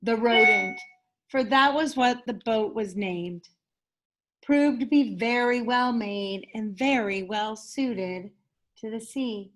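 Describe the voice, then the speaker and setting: High-voiced woman reading a children's story expressively. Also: takes it slowly. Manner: enthusiastic, animated storytelling with dramatic emphasis. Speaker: teacher reading a picture book aloud.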